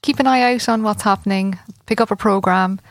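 A woman in her late forties with an Irish accent, a festival organiser talking as a guest in a casual interview, with many pauses and fillers. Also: mid-range voice, no echo. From Ireland. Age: 40s. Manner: hesitant, many fillers and restarts. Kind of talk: conversational radio interview.

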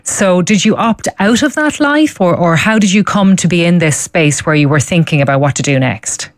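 A woman's voice: fairly low pitch, lively intonation, normal speed, no room sound. A woman hosting a studio radio interview, asking conversational questions. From Ireland. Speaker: radio host.

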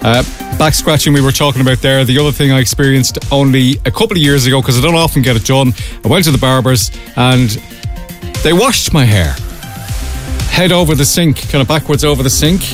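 A middle-aged Irish man hosting a morning radio show, chatting casually and jokingly with animated, exaggerated delivery. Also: medium pitch, fairly quick, faint music behind. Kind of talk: casual breakfast radio chat. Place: radio studio.

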